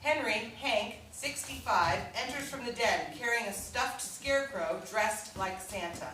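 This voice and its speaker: A woman's voice: on the high side, a little slow, sharp tone. Woman reading stage directions, very echoey. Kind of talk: scripted narration at a play reading. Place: indoor live staged reading. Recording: echoey room from far off, faint noise.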